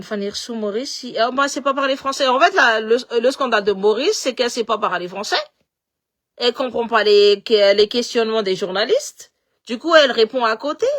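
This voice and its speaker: A woman with a slightly high voice and a light Malagasy accent talks loosely and with energy. She often repeats her words and restarts as her thoughts run on. The delivery is casual, like a live talk to followers. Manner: animated, repeats words, switches between languages. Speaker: political commentator.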